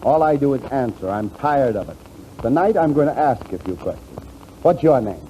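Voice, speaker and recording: A man's voice: mid-range voice, very animated, normal speed, dark tone, natural voice. A man playing a blunt, truculent audience member fed up with quiz shows, in a 1940s radio comedy. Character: grumbling, pushy audience man fed up with quizzes. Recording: faintly noisy 1940 radio recording.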